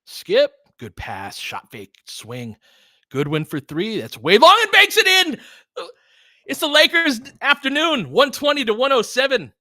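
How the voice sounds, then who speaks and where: Bright-voiced man calling live basketball. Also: very high voice, wildly swooping pitch, unhurried, no echo, studio quality. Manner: informal fan commentary, urging players on, chatting with listeners. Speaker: host of a basketball film-room audio stream. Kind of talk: live basketball play-by-play and analysis, casual.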